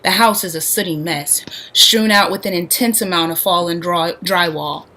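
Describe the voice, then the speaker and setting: A woman's voice: lowish voice, normal speed, sharp tone. A woman reading stage directions as scripted narration for a play.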